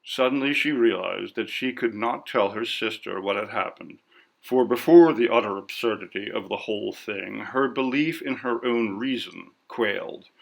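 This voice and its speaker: A man reading a story as scripted narration in a measured, literary delivery. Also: on the low side, gravelly, poor audio. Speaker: audiobook narrator.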